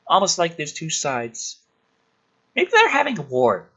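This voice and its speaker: A man's voice: on the high side, wildly swooping pitch, a little slow, sharp tone, lo-fi sound, voice pushed up, exaggerated voice. Man reading as an earnest, blunt little boy. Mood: earnest, curious. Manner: innocent, matter-of-fact. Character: earnest, blunt young boy questioning his father.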